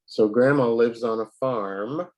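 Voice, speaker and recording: A man tutoring a child in English, patient and a little hoarse from a cold, often clearing his throat. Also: medium pitch, a little slow, dull audio. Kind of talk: online one-to-one lesson.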